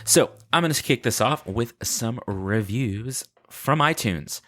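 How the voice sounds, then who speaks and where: A man's voice: mid-range voice, expressive, slow, pushed and bright. A man hosting a podcast solo in a casual, unscripted way, chatting playfully and rambling with self-deprecating humor. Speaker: podcast host.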